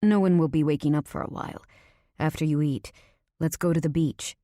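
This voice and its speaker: A woman's voice: deep voice, a little fast, mellow, no echo, studio quality, lightly acted. A woman voicing a brooding, protective young man in an audiobook, speaking in a serious, terse and controlling way. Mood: matter-of-fact.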